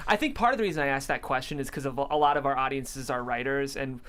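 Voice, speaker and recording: A man's voice: mid-range voice, fast talker, a bit bright. A man hosting a conversational interview, thoughtful and complimentary, choosing his phrasing with care. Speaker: host of a script table-read show.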